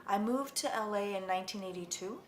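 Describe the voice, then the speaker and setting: A woman's voice: mid-range voice, fairly quick. A woman in her forties, born in Vietnam and raised in Los Angeles, reflecting thoughtfully in an oral history interview as an artist. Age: 40s. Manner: thoughtful, with frequent restarts. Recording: echoey room, faint background noise.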